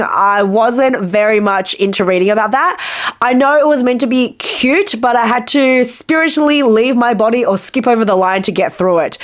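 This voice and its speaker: A woman reviewing books in a casual vlog, chatty, wry and opinionated, her voice a little croaky after being ill. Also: a little high. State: slightly croaky from illness. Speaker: book reviewer.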